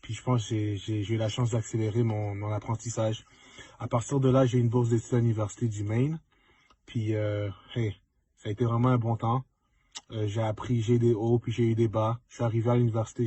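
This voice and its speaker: A young man speaks reflectively to students and drops in English words. His low voice sounds husky and close, with a dry, unechoing sound. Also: warm tone, no echo. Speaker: former student, pro football player. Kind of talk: casual testimonial to students.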